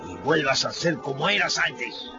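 An actor playing a drunken, brutal backwoods father in a radio drama, menacing and bullying, barking between growls and yells. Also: medium pitch, animated, normal speed, natural voice. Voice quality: gravelly, menacing. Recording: dull lo-fi radio recording. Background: clear music bed.

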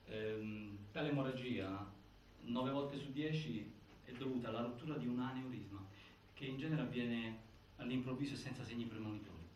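An actor playing a grave narrator of a prison death inquiry, reciting findings with emphatic delivery and halting pauses in theatrical documentary style. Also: male voice, medium pitch, close to an everyday voice. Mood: grave, insistent. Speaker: stage actor narrating an investigation. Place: indoor stage, picked up from afar. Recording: distant, echoey, noisy theatre recording.

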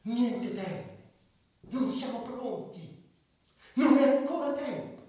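Idealistic doctor-crusader, high swooping delivery, oratorical pauses, radio drama, very echoey. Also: male voice, animated, warm tone, heavily acted. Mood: earnest oratory. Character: idealistic male doctor turned impassioned public crusader. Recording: very echoey hall, far from the mic.

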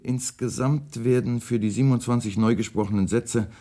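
An actor in a German radio play, playing a polite, pedantic radio editor with dry, quiet malice and formal, exact speech. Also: male voice, medium pitch, fairly even intonation, moderate pace, close to an everyday voice. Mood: politely deadpan.

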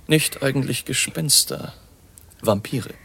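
Creaky, learned vampire-hunting baron. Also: male voice, medium pitch, pushed and bright, slight background noise, heavily acted. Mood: ominous, scholarly. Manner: measured, scholarly, ominous. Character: baron, eerie learned vampire researcher, grave and deliberate. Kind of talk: radio drama acting.

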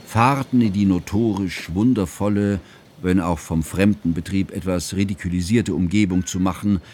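An actor playing an earnest, scholarly friend narrating a composer's life in a formal, measured literary radio drama style. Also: male voice, deep voice, a little mellow, a little hiss or hum, natural voice. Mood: reflective.

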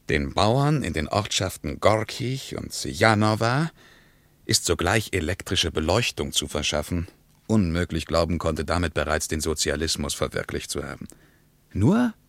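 An actor playing an argumentative, ironic intellectual in a German radio play, speaking emphatically with rhetorical pauses. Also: male voice, lowish voice, dry recording. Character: male traveller, argumentative, ironic intellectual debating politics.

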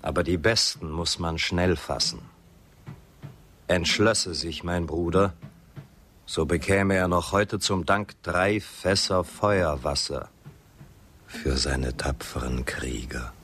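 Low-voiced, shrewd frontier negotiator. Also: male voice, takes it slowly, a bit bright, a little hiss or hum. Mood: insistent. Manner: formal, assertive, persuasive. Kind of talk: German radio drama acting.

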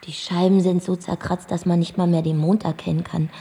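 A woman's voice: lowish voice, flat delivery, a little fast, dark tone, long reverb tail, natural voice. An actress playing a brash, worldly-wise female bar singer in a German radio drama, with a blunt, flippant and dry delivery. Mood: dry, dismissive.

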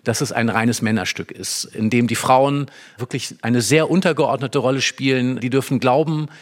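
A man speaks at a medium pitch. He explains and analyses literature in an emphatic, engaged manner, as in a discussion programme. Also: normal speed. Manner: explanatory, emphatic, acts out short quotes. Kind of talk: animated analytical discussion of a play.

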